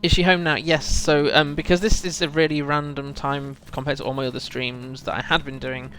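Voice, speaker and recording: A man's voice: a little high, level tone, brisk, fairly bright tone, slight background noise, rough recording. A man chatting casually as he hosts a game livestream, rambling and thinking aloud to his chat while a bit under the weather with a cold. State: feels full of cold, often clearing throat.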